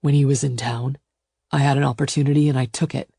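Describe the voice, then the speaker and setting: A woman voicing a fierce, possessive male lover in a romance audiobook, with a low growl and an emphatic, insistent delivery. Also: fairly even intonation, quick pace, creaky, warm tone, husky, dry recording, studio quality, exaggerated voice. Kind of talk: audiobook romance character dialogue.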